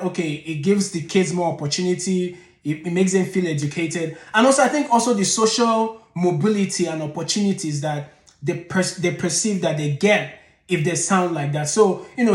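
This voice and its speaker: A man's voice: sits high, roomy sound. Blunt man. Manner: blunt, exasperated, digressive. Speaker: channel host. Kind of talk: opinionated commentary.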